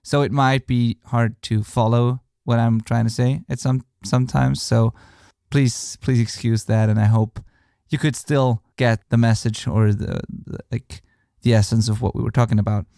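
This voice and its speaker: A man's voice: mid-range voice, steady tone, moderate pace, gravelly, mellow, no room sound, studio quality. A man hosting a podcast, speaking English as a non-native speaker in an earnest, rambling way with frequent restarts. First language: not English. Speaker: podcast host, mixing engineer. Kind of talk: podcast outro monologue.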